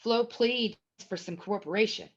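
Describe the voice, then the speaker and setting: A woman's voice: medium pitch, normal speed, breathy edge. A woman narrating a screenplay at a table read, reading scene headings and stage action as scripted narration. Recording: dull, lo-fi sound.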